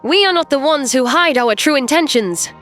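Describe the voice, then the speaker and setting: A woman playing a composed human starship captain in a sci-fi audio drama, earnest, measured and persuasive. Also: sits high, lively intonation, fairly bright tone, soft background music. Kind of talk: audio drama character acting.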